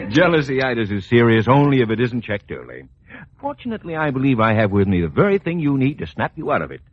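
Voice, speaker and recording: A jovial doctor, acted by a man banters on a noisy, muffled vintage radio comedy broadcast. His teasing delivery is unhurried, with big swoops in pitch. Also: sing-song, lightly acted. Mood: amused, reassuring. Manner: drawn-out, teasing bedside manner. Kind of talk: 1940s-50s radio comedy acting.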